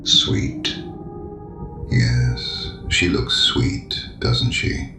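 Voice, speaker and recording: An actor playing a mysterious, coolly taunting male penitent in an audio drama, with a gravelly voice and measured, mock-courteous insinuation. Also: rumbling low, over loud music, heavily acted. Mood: sly, insinuating. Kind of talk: full-cast audio drama acting. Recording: roomy echo, clear background noise.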